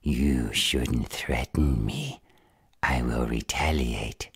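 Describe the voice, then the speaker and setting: A gremlin villain in an audio roleplay, with an extremely low and badly rasping voice. The creature is whiny and menacing, pleading one way and threatening the other. It talks slowly. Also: male voice, no room sound, studio quality, full character voice. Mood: menacing, defensive. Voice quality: husky, gravelly rasp. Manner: pleading, threatening, self-justifying. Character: menacing, whiny male gremlin stalker held captive.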